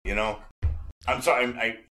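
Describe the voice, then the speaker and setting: A man in his forties talks in a casual, rambling way as an enthusiast hosting a chat. He is earnest and halting, circles back over his phrases and swears freely, with a mid-pitched voice. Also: fairly even intonation, pushed and bright, slight background noise. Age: 40s. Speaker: podcast host and devoted fan. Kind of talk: casual, rambling fan conversation.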